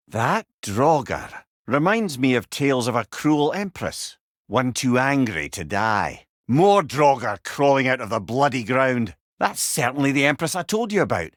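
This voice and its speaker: An actor playing a wry, clever older male storyteller companion in a video game, with a Scottish accent and a droll, expressive manner. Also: medium pitch, dry recording, clean studio sound. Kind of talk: video game character dialogue.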